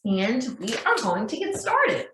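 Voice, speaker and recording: A woman with a high voice speaks as a preschool teacher, reading aloud and guiding small children. She takes her time and poses questions in a sing-song manner. The sound is roomy and echoey. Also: huge pitch swings, unhurried, fairly bright tone, slight background noise. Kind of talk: children's read-aloud and craft lesson.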